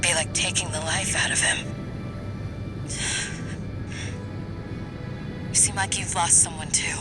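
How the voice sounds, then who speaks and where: A woman's voice: fairly low pitch, steady tone, fairly quick, over loud music, close to an everyday voice. An actress playing a tough, world-weary but loyal female mercenary leader in a video game, with a dry, sardonic, casual delivery. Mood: quiet grief. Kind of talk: video game voice acting, mission briefing.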